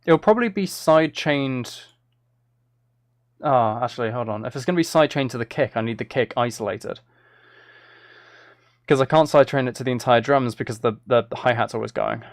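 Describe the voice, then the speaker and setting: A man's voice: mid-range voice, a little fast, long pauses, no room sound, clean studio sound. A man streaming casually while making music, thinking aloud to himself and often trailing off mid-thought. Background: synth and drum loops from music software, clicking.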